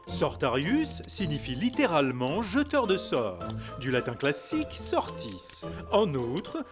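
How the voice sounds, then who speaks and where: A man voicing a pedantic, gloomy undead professor in a French cartoon dub, lecturing, with clear music behind him. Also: fairly high pitch, exaggerated voice. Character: pedantic dead male professor, bodiless and gloomy. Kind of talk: French animated film dubbing.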